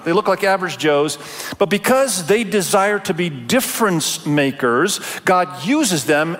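A middle-aged male pastor raised in Indonesia, preaching a Sunday sermon in a relaxed, conversational way with many fillers and restarts. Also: high-pitched. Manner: warm conversational delivery with frequent fillers and restarts. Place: large church auditorium. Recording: long church reverb.

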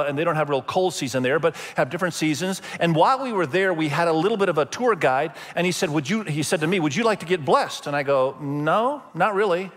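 Male pastor raised in Indonesia, slightly raised voice, preaching with chatty stories and dramatic pauses in a church hall with long reverb. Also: a little high, fairly bright tone. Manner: conversational storytelling, emphatic pauses. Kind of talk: sermon. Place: church sanctuary.

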